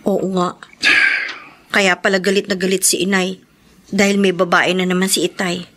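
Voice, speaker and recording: A woman's voice: lowish voice, little pitch movement, normal speed, a bit bright, natural voice. An actress playing a troubled, sorrowful young woman in a radio drama, speaking plaintively and earnestly. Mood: hurt.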